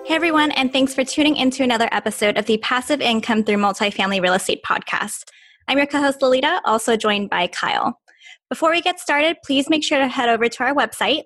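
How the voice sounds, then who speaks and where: A woman's voice: on the high side, a bit bright, dry recording. A woman co-hosting a podcast, reading the show opening and guest introduction in an upbeat way. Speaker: podcast co-host.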